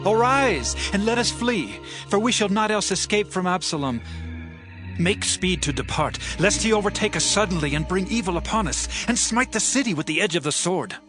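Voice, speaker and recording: A king in a dramatized scripture drama, issuing commands in a high voice that rises and falls widely. Quiet music sits underneath. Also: lively intonation, normal speed. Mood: urgent. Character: weary but commanding king, male.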